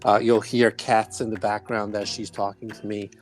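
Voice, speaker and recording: A man's voice: on the low side, little pitch movement, moderate pace, soft background music. A middle-aged man from Brooklyn, New York, hosting a podcast in a chatty, rambling way. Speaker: podcast host. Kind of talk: casual chat and interview.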